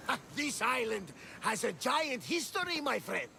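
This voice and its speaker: A man's voice: extremely high pitch, big pitch swings, a little slow, creaky, sharp tone, a little hiss or hum, heavily acted. A man playing a talkative, genial Greek ship captain who relishes telling tales, in a video game cutscene. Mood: enthusiastic storytelling.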